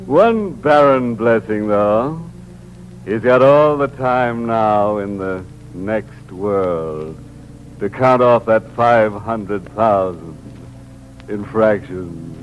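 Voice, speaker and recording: Figure: ghoulish horror host. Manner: sly, chuckling, punning. Pitch: low. Style: 1950s radio horror. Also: male voice, dull audio, a little hiss or hum. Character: ghoulish male horror host, sardonic and mocking. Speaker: show host and narrator.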